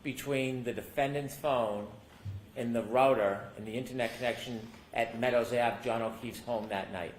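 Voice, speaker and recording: A man's voice: medium pitch, fairly even intonation, normal speed. A man questioning a witness as a prosecuting lawyer, formal, polite and procedural. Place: courtroom. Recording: echoey courtroom, faint noise.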